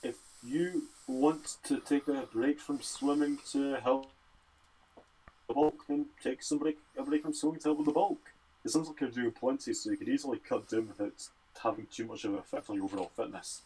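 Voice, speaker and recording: A man's voice: medium pitch, steady tone, a little fast, mellow, breathy edge, low-quality recording. A Scottish man who studies medicine, casually answering health and fitness questions on a livestream Q&A. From Scotland.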